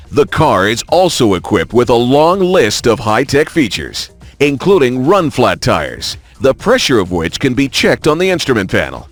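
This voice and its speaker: Guy doing polished documentary voiceover, measured but really expressive pitch, bit of music underneath. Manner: polished, measured announcer delivery. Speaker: narrator. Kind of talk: scripted documentary narration for a car showcase.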